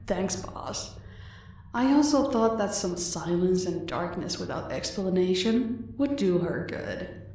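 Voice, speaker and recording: A woman plays a loyal crime henchwoman in an audio drama. She speaks slowly and tersely, understated and deferential, her voice swimming in heavy room echo. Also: fairly low pitch, animated, dark tone, recorded from a distance. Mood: deferential, unhurried. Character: laconic female henchwoman torturer, loyal to her boss. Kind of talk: audio roleplay acting. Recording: very echoey room, away from the mic.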